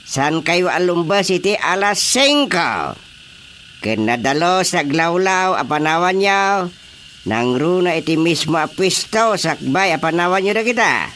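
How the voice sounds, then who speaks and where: Man playing a strict, deliberate village chairman. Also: high voice, lively intonation, sharp tone, slight background noise, heavily acted. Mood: stern. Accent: Ilocano. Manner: authoritative, deliberate, instructing. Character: strict male village chairman laying down market rules. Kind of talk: Ilocano radio drama acting.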